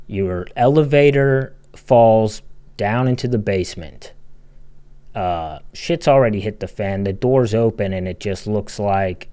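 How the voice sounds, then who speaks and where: A man's voice: fairly low pitch, unhurried, warm tone, smooth. A man giving opinionated video essay commentary in a blunt, casual, sweary manner. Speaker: video game critic, channel narrator.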